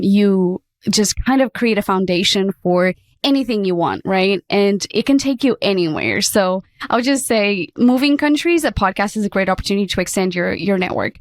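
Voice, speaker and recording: A woman's voice: mid-range voice, no room sound. Chatty woman, dry sound. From Portugal. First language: Portuguese. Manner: chatty and upbeat, many "like" fillers. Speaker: podcast guest. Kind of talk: casual interview chat.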